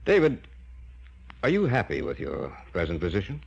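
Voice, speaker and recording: An actor playing an obsessed older scientist in 1950s radio drama style, persuasive, theatrical and deliberate. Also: male voice, deep voice, huge pitch swings, gravelly, breathy edge, exaggerated voice. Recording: muffled, noisy old 1950s radio recording.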